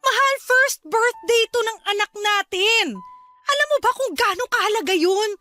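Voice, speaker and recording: A woman's voice: very high voice, moderate pace, sharp tone, faint music behind. A woman playing a resentful, sulky young wife and mother in a Filipino radio drama, speaking tersely and pleadingly with a Filipino accent. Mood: tearful, hurt.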